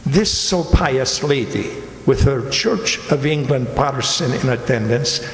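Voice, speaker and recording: An actor playing a sly, shabby fat Italian stranger in a radio drama, with an Italian accent and a courteous, theatrical, mock-humble manner. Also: male voice, medium pitch. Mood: insinuating. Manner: mock-humble, ornate, teasing, deliberate speech. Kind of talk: amateur radio drama acting. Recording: very echoey reverberant hall.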